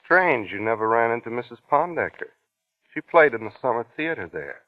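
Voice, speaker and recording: An actor playing a quietly menacing police investigator, politely insinuating, in old-time radio suspense style. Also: male voice, mid-range voice, very animated, brisk, dry recording, lightly acted. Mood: coolly insinuating. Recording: tinny, dry vintage radio recording.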